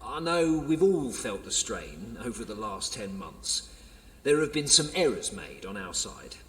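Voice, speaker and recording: A man's voice: lowish voice, very animated, unhurried, a bit bright. An actor playing a defensive, placating male police official with an English accent in an audio drama. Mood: formal. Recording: reverberant hall, distant mic.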